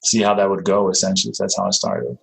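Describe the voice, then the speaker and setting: A man with a Danish accent talks in a low voice, friendly and unhurried. He stops and restarts often, filling gaps with ums as he explains himself. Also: expressive, vocal fry, a little hiss or hum, rough recording. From Denmark. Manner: friendly, halting, many fillers and restarts. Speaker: guest, real estate investor. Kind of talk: casual interview talk.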